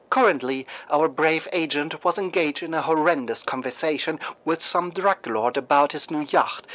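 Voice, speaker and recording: A radio show host and narrator reading a scripted story in a theatrical, deliberate way, like a dramatic newscast. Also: mid-range voice, fairly bright tone, rough recording.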